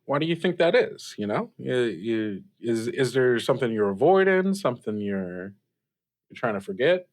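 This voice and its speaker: A man acts out a kindly kid hosting a late-night call-in radio show. He is hesitant and self-effacing, often stumbling over his words. His medium-pitched voice suits an improvised, casual audio drama. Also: sing-song, moderate pace, natural voice. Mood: gentle, unsure.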